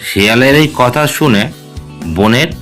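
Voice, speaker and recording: A man's voice: mid-range voice, unhurried. Male fairy-tale narrator with music behind. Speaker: storyteller, narrator. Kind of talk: scripted children's story narration.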